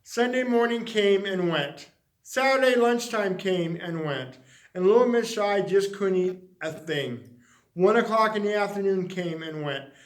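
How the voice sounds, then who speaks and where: High-voiced man reading a storybook, echoey room. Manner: dramatic, with drawn-out emphasis and repeated words. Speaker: story reader. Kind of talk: expressive children's storybook narration.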